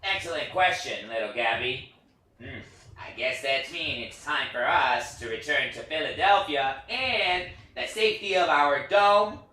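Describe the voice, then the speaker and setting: A man playing an avuncular, protective puppet guardian in a theatrical play reading, with an exaggerated, deliberate delivery. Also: a little high, animated, pushed and bright. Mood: genial. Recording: very echoey, distant mic.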